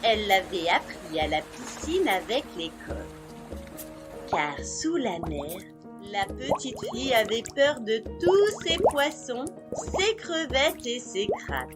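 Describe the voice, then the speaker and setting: Slow, high-pitched woman storyteller. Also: big pitch swings. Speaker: children's story narrator. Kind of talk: scripted storytelling for young children. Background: music.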